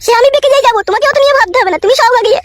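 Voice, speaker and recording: Casting: shrill young wife for comic cartoon performed by a woman, squeaky top-register voice, quick, defiant delivery. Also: no room sound. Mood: snappish, defiant. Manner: shrill, snappish, dismissive. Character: defiant young wife, meek toward her father-in-law.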